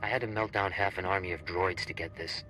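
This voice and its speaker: An actor playing a cold, ruthless undercover agent in a video game, curt and commanding. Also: male voice, sits low, steady tone, fast talker, bright, forceful tone, soft background music, rough recording. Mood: confident, gruff.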